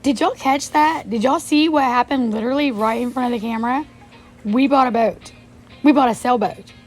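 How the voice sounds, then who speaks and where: A woman's voice: a little high, moderate pace, soft background music. Chatty woman with a strained voice. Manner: chatty and excited, addresses viewers directly. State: losing her voice. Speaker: vlogger. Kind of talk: casual vlog talk to camera.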